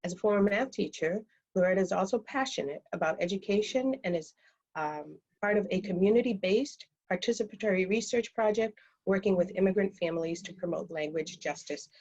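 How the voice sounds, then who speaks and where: A woman's voice: mid-range voice, brisk, a little mellow, slightly rough. Woman moderator reading panel bios. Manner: reads bios steadily, with frequent ums. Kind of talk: reading speaker introductions on a video call. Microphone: video call. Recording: dull, lo-fi call audio.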